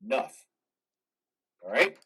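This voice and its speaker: A man's voice: slow pace, bright, forceful tone, recorded from a distance. A man in a reader's theater drama playing a troubled, haunted army veteran, earnest and hesitant. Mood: weary, evasive.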